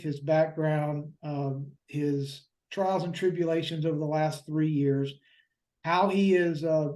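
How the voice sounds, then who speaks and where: Guy hosting an interview, highish mellow voice, super formal and effusive, lots of uhs, echoey room. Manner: formal, effusive, halting with fillers. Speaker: interview host. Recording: roomy echo.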